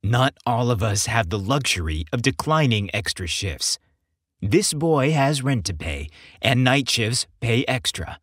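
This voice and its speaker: A man's voice: medium pitch, very animated, measured pace, a bit bright, breathy edge, dry recording, clean studio sound, exaggerated voice. A man voicing a grumbling, playful young male gym trainer in story dialogue, griping with mock exasperation. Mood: mock indignant.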